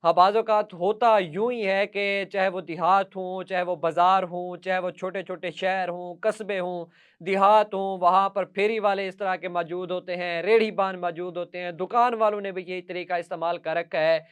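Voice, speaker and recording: A Pakistani-accented man delivers news commentary in a high, clear voice. He addresses viewers directly and leans on emphatic, repeated lists to press each point. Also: steady tone, moderate pace. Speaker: news presenter.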